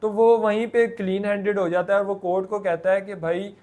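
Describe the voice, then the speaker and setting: A man's voice: high-pitched, flat delivery, a little fast, a little mellow. A man with a Pakistani accent explaining material like a lecturer, in a colloquial way with repeated filler phrases and English terms mixed in. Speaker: law lecturer.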